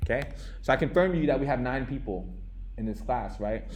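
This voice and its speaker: A young man leads a live coding class in a relaxed, chatty way, restarting sentences and checking in with the students. His pitch sits mid-range for a man. A faint hiss runs under the recording. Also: a little fast. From United States. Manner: casual, animated teacher, many restarts and "okay?" checks. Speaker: coding instructor.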